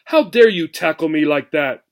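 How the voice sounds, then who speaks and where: A man's voice: fairly high pitch, animated, normal speed. A man reading a children's story, voicing a feisty, stubborn young female gerbil. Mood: angry, shrill.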